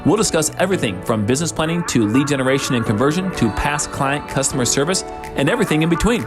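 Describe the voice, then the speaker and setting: A man reading a scripted podcast intro in a smooth promotional delivery. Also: fairly high pitch, expressive, fast talker. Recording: intro with music underneath. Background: music.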